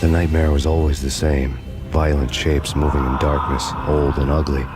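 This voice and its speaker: Actor as a Brooding noir cop narrator, very deep, flat, bitter, score under him. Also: male voice, normal speed. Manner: hard-boiled noir narration, dry and bitter. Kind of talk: scripted noir voice-over. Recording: with loud game music.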